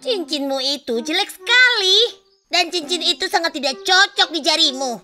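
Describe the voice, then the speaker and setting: Spiteful young sister, cartoon fairy tale: extremely high, sharp voice, whining and scolding. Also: female voice, expressive, moderate pace, exaggerated voice. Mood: mocking, envious. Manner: scolding, whiny.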